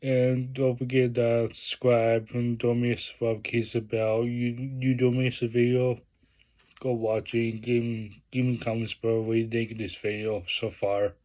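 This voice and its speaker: A man talking casually through an unboxing vlog, halting with many fillers and restarts, praising what he shows. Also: medium pitch. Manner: plain enthusiastic praise in a flat delivery. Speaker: vlogger.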